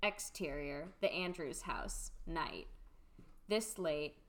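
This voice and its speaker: A young adult woman reads the stage directions in a dry, matter-of-fact way. Her voice sits low. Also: animated, slow pace. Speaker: podcast co-host reading stage directions. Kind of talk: scripted stage-direction reading.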